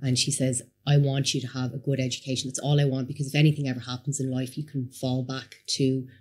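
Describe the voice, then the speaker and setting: A woman's voice: rumbling low, flat delivery, fast, a little mellow. A woman in her thirties from Somalia, with a Dublin accent, telling her life story as a guest in a casual interview. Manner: chatty, candid storytelling. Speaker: guest entrepreneur.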